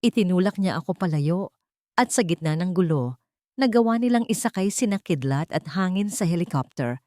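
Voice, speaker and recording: A woman's voice: on the low side, lively intonation, normal speed, warm tone, no room sound, studio quality. A woman narrating a first-person love story audio drama, earnest and dramatic in her reading.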